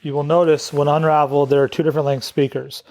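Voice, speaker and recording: Man giving step-by-step instructions, slightly higher voice. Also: flat delivery, fast, a little mellow. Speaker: product demonstrator.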